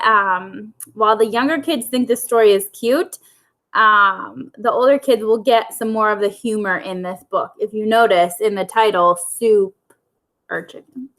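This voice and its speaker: A woman's voice: on the high side, a bit bright, poor audio. A woman reading aloud to children as a school storyteller.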